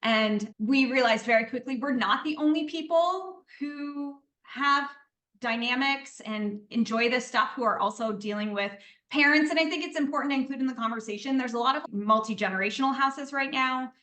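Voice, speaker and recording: A woman's voice: high-pitched, moderate pace, bright, forceful tone, echoey room. A woman, a podcast guest, talking at length in a casual interview. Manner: talkative, explains at length.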